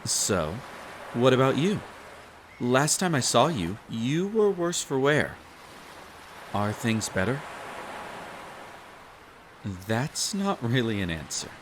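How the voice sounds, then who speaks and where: A man's voice: medium pitch, sing-song, slow pace, pauses often, a little hiss or hum. A man acting as a smitten young barista on a beach outing in an intimate roleplay audio, playful, bashful and caring as he rambles. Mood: concerned, tender.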